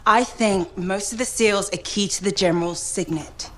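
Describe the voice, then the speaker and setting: An actress as an earnest, mid-pitched tech specialist. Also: female voice, normal speed, fairly bright tone, natural voice. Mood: thoughtful. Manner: thoughtful, careful, explanatory. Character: earnest, analytical female technical specialist. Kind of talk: video game cutscene acting. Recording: faint background music.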